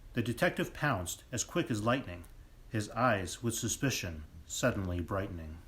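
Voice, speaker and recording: A man's voice: on the low side, animated, normal speed, fairly bright tone. A man playing a theatrical storybook narrator, telling a comic murder mystery in lilting rhyme. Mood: dramatic, wry. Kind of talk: scripted rhyming narration.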